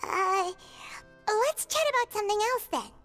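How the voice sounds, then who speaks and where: Very high, squeaky little fairy girl. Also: very animated, normal speed, fairly bright tone, faint music behind, full character voice. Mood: awkward, casual. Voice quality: squeaky, childlike. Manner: exclamatory, bubbly. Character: tiny perky childlike floating fairy companion, chatty and excitable. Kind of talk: video game character acting.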